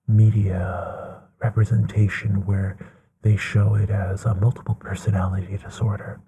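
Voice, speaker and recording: A man playing a gentle, bookish vampire companion in a soothing ASMR roleplay, half-whispering in a hushed, musing way with trailing pauses. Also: on the low side, slightly rough, exaggerated voice.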